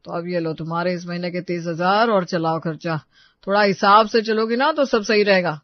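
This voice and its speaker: A woman's voice: on the low side, moderate pace, no room sound, dull audio, pitched below the performer's own voice, close to an everyday voice. A woman playing a self-assured, stingy husband who lectures and complains in a domestic moral story. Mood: lecturing. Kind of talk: Hindi moral-story character dialogue.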